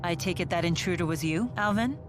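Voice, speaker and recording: A woman's voice: on the low side, fairly quick, close to an everyday voice. A woman playing a stern, regal young warrior in a game, formal, decisive and detached. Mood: suspicious. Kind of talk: English game dub acting. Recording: loud game music behind.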